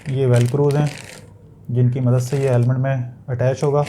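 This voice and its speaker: A man's voice: mid-range voice, little pitch movement, moderate pace, background noise. A man with a Pakistani accent giving a casual, explanatory product review, feeling for his words and sometimes repeating them, as in an unboxing video.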